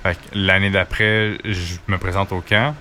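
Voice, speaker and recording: A man's voice: sits low, level tone, moderate pace, pushed and bright, slight background noise. A man with a Quebec French accent, speaking as an interview guest in a casual, colloquial storytelling way. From Quebec, Canada. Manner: informal, colloquial. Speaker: interview guest, hockey goaltender.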